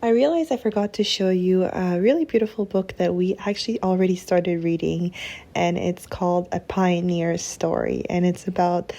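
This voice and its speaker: Mellow, gravelly-edged woman. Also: lowish voice. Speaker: homeschooling mother sharing a book. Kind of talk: casual talk to camera.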